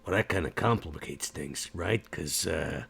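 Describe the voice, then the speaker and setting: Man playing a deep, gravelly, loyal vampire bruiser. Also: measured pace, fairly bright tone, full character voice. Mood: gruff, laid-back. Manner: gruff, laconic, folksy. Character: Max, gruff, loyal, easygoing male vampire bruiser.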